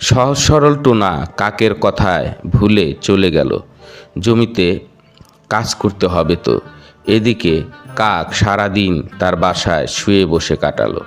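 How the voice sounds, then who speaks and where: A man's voice: fairly low pitch, lively intonation, unhurried, soft background music. A man narrating a children's cartoon fable as a scripted storybook tale.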